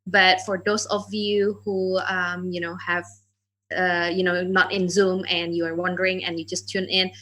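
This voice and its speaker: Woman hosting a zoom q&a, bright tone, easygoing with fillers. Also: lowish voice, unhurried. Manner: relaxed, loose phrasing with fillers. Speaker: moderator. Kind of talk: online Q&A hosting.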